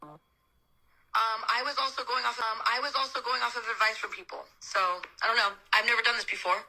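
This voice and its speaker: A woman's voice: a little high, fairly even intonation, a little fast, sharp tone. A woman in a phone conversation, defensive and insistent, cutting in as she argues her side on a replayed call. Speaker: entertainment industry professional on a call. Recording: muffled-voiced phone call, replayed clip.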